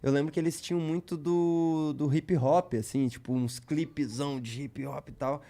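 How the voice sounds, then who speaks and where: A man's voice: on the high side, fairly even intonation, moderate pace, mellow. A man with a Brazilian Portuguese accent, a guest in casual podcast chat, telling a long story loosely with slang and many fillers.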